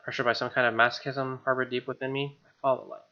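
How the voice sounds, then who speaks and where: A man's voice: medium pitch, level tone, rapid-fire, bright, forceful tone, dull audio. A man with an American accent reading a visual novel aloud as a gaming host, with casual asides. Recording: game music behind.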